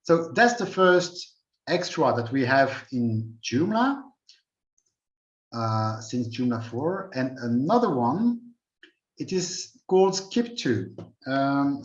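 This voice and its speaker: A man from Belgium walking through a software demo at a user group meeting, unhurried and thinking aloud with many fillers. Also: on the high side, reverberant, lo-fi sound. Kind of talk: screen-share software demo.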